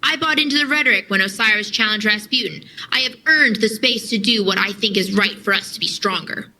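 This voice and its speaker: An actress playing a defiant, headstrong soldier in an audio drama, arguing forcefully. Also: female voice, medium pitch, brisk, a little hiss or hum, poor audio. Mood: indignant. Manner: forceful, argumentative. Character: defiant, headstrong female soldier confronting her commander.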